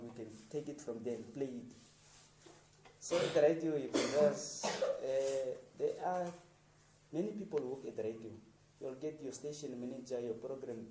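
A radio producer from Namibia talking informally to students, encouraging them with plain, repetitive sentences and restarts. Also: male voice, mid-range voice, warm tone, echoey room, recorded from a distance, slight background noise, poor audio.

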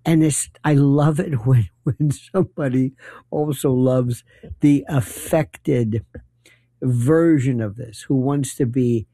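An older man hosting a late-night call-in radio show, musing aloud in a rambling, opinionated monologue with animated asides. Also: mid-range voice, slow pace, no echo. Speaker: radio talk show host. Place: radio studio.

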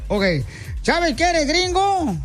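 A man hosting a lively radio show, playful and joking, trading shout-outs with callers. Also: extremely high pitch, faint music behind. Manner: playful, excitable, quick jokes and shout-outs. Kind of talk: lively morning radio banter.

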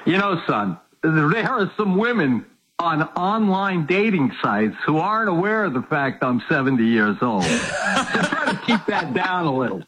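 A man's voice: on the high side, big pitch swings, measured pace, fairly bright tone. A man of seventy, a guest on a sports betting show, talking casually with wry, self-mocking humor. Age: 70s. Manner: wry, self-mocking, laughs at his own jokes. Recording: muffled call-in line.

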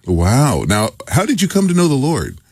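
A man's voice: medium pitch, very animated, normal speed, a little mellow, husky, dry recording, studio quality. A man hosting a radio interview, asking his guest questions.

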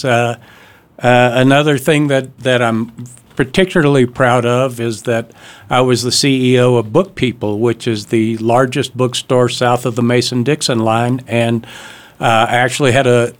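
An older man, an author, gives a radio interview in a studio, telling stories in a chatty way with hesitations. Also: medium pitch. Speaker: author and guest.

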